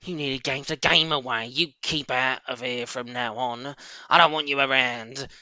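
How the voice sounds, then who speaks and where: Male reader as rude storybook rat, shrill, scolding, territorial, bright piercing voice. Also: steady tone, moderate pace, clear, resonant tone, no room sound. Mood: scolding, defiant.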